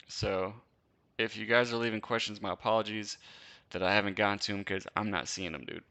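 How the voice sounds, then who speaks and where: A man's voice: fairly low pitch, steady tone, a little fast, sharp tone. A young man from Wisconsin hosting a casual live-stream Q&A, chatting in a laid-back, slangy way and laughing often. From Wisconsin, USA. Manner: laid-back slangy chat, lots of "dude" and "bro", frequent laughs. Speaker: host.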